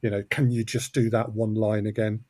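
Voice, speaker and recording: A man's voice: on the low side, level tone, normal speed, mellow, dry recording, clean studio sound. A man speaking as an interview guest, explaining his production work in a conversational way. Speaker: audio drama co-creator and producer, interview guest.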